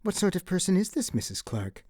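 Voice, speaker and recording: A man's voice: high voice, big pitch swings, a little fast, darkish tone, dry recording, pitched above the performer's own voice. A prim, inquisitive lady, put on by a male narrator, presses politely in a raised, lilting voice. The audiobook sound is close and dry. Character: persistent, inquisitive, proper lady. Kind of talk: audiobook character acting.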